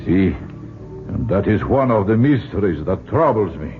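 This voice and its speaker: An actor playing a grave, worried male scholar in an old-time radio drama, with formal, deliberate phrasing. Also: deep voice, expressive, faint music behind. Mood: ominous, troubled. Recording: noisy, phone-like old radio recording.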